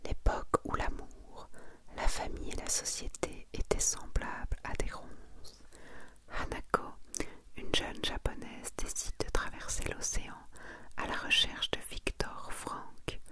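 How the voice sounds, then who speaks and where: A woman whispering softly and without hurry in an ASMR style, as she presents and reads aloud. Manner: soft, hushed, unhurried whispering. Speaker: ASMR video creator presenting a manga collection. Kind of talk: whispered ASMR, reading and commenting.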